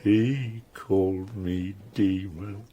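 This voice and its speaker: A huge, grieving monster, speaks in an extremely low, creaking voice. He threatens slowly and breathily, as in gothic radio drama, over clearly noisy ambience. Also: fairly even intonation, mellow, lo-fi sound. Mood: wounded, brooding. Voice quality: gravelly, breathy. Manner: slow, deliberate threats. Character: menacing, grieving monster, huge man-made creature.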